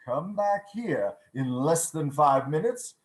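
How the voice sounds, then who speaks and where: An elderly reformed miser bursts with giddy generosity in a radio play. The voice is high and very animated, repeating phrases with exclamatory delight, over a roomy, echoing call. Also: male voice, takes it slowly. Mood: giddy, generous. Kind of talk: theatrical radio play reading. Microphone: video call.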